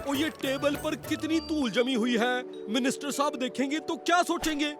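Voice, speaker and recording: An excitable cartoon man blusters in an extremely high voice. His delivery is exaggerated and full of exclamation. Loud music sits under everything he says. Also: moderate pace. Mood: fussy. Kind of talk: children's comedy cartoon dub.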